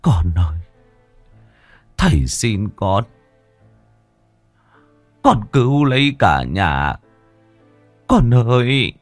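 A man's voice: fairly low pitch, sing-song, dry recording, soft background music, exaggerated voice. A man voicing a broken older village father who pleads through tears. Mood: tearful begging. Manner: slow, tearful, pleading.

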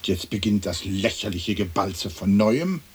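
Haughty aristocrat, husky voice, slow declamation, indignant and vengeful, theatrical radio drama. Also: male voice, low-pitched, animated, heavily acted. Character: haughty, indignant lord turning into a bird, vengeful. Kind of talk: stylized German radio play acting.

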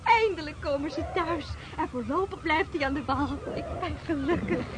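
Lady playing an excited young woman at the docks, super high voice, noisy behind. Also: normal speed, lightly acted. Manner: excited, pointing things out. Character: eager young harbor woman awaiting ship. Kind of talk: radio drama acting. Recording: noisy background.

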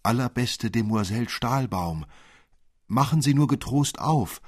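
A man voicing an eager, courteous young nutcracker gentleman with a small voice and flowery, coaxing politeness. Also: medium pitch, expressive, a little slow, breathy edge, dry recording, studio quality, pitched above the performer's own voice. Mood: joyful, coaxing. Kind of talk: storybook character voice.

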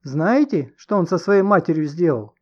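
A man acts out a hysterical, furious woman from a storybook. The voice is raised high, loud and agitated, flinging accusations, on a slightly dull recording. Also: lively intonation, moderate pace. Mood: bitter, scornful.

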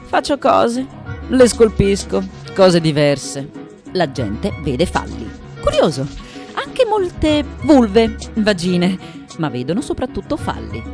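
An actress playing an aged, eccentric female sculptor in a theatrical radio monologue, intense and dramatic, with a breathy edge when hushed. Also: mid-range voice. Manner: intense, dramatic, shifting between whispers and emphatic declamation.

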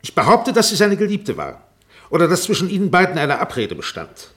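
An actor playing a shrewd, relentless defence barrister in a German radio play, formal and insistent. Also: male voice, high voice, huge pitch swings, brisk, a bit bright, heavily acted. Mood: accusing, pressing. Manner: formal, insistent, rhetorical repetition.

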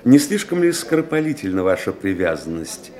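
An actor playing a composed, probing doctor who assists the police in a radio play, politely insistent. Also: male voice, low-pitched, very animated, fairly quick, dark tone. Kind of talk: Russian radio play acting. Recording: radio play with music underneath.

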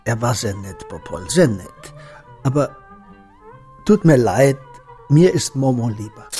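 An older man playing a kindly, wry old shopkeeper in a radio play, fatherly, gently teasing and deliberate. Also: medium pitch, lively intonation, soft background music, close to an everyday voice. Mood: affectionate.

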